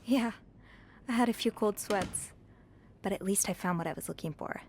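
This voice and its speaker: A woman plays a young maid who snoops around a 1950s hotel in a mystery game. She sounds earnest and polite, with a curious edge. Her voice sits at an average pitch for a woman. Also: normal speed, a little mellow, a little hiss or hum, natural voice. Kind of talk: video game cutscene acting.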